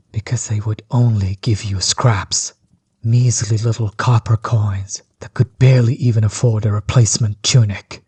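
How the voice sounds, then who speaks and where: Male actor as smug tyrant overlord, audio roleplay: low, heavily husky, close and dry, theatrically mocking. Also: mellow, no room sound. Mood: resentful, reproachful. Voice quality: husky, breathy, often dropping toward a whisper. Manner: mocking, condescending, drawn-out theatrical delivery. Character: smug, bitter male villain overlord, grudge against old companion. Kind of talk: scripted roleplay audio drama monologue.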